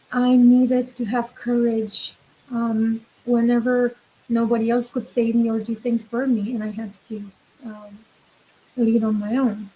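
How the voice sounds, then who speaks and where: A woman around forty with a Romanian accent talks directly to the viewer as a coach sharing something personal. Her voice sits fairly high and has a very soft, mellow tone. She speaks very slowly and reflectively, leaving pauses between short phrases. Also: rough recording. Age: about 40. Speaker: relationship coach and teacher. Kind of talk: personal monologue to camera.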